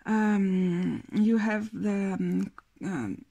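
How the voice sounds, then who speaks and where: A woman's voice: medium pitch, extremely slow, vocal fry, mellow. A tired young woman with a Belgian French accent, a French speaker reviewing a tarot deck in English, hesitant and often searching for words. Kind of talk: casual video review.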